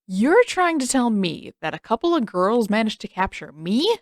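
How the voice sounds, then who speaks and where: A woman's voice: fairly high pitch, big pitch swings, moderate pace, dry recording. A brash teenage boy in a fantasy audiobook, put on by a woman narrator. He blusters and scoffs in disbelief, with a dry, close sound. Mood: incredulous, scornful. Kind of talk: audiobook character dialogue.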